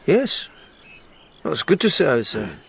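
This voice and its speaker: An actor in a radio drama playing an earnest, reticent police lieutenant who speaks in a quiet, measured way. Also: male voice, on the high side, big pitch swings, vocal fry, husky, exaggerated voice. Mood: reserved.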